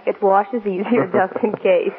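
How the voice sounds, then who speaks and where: A woman playing a gentle, practical young woman in 1940s radio drama style, on a tinny old broadcast. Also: medium pitch, steady tone, measured pace, close to an everyday voice. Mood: kindly, concerned.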